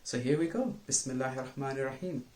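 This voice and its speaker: A man's voice: mid-range voice, quick pace, darkish tone, a little hiss or hum. A man reading a children's bedtime story aloud, earnest and speaking directly to his listeners. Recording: roomy, echoing sound.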